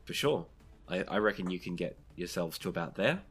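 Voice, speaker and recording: A game master with a low-pitched voice narrates a tabletop roleplaying game. The delivery is conversational and easygoing, moving between scene description and rulings for the players. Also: animated, a little fast, husky, faint music behind.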